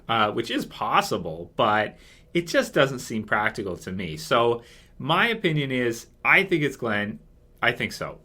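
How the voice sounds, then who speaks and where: A man's voice: medium pitch, sharp tone. A man hosting a casual fan commentary video, talking in a rambling way that circles back over his points. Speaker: video host.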